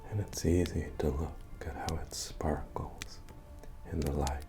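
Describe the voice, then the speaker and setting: A man playing a friendly, humble medieval fantasy innkeeper in a soft-spoken, deep-voiced, understated ASMR roleplay. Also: steady tone, measured pace. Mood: hypnotic, hushed. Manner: hesitant, rambling, understated delivery. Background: bard music.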